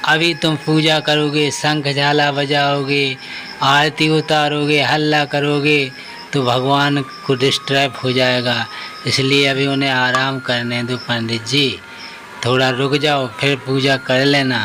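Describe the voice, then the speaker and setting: Man voicing bright-voiced, earnest simple devotee Bholu. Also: medium pitch, little pitch movement, takes it slowly, soft background music. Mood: earnest insisting. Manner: pleading, guileless, devout. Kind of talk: Hindi devotional cartoon story acting.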